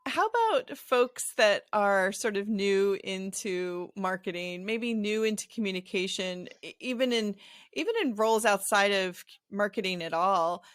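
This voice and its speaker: A middle-aged woman hosting an interview podcast, telling stories in a chatty, animated way with playful asides. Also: mid-range voice, measured pace, clear, resonant tone, no room sound, studio quality. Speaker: podcast host. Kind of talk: conversational interview.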